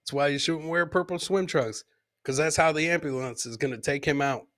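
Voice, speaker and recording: A man's voice: a little high, fairly quick, a bit bright. Casual man riffing on video clips. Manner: repeats his own lines, reading and answering live comments. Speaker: host of a live comedy podcast. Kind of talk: casual commentary on funny fail clips.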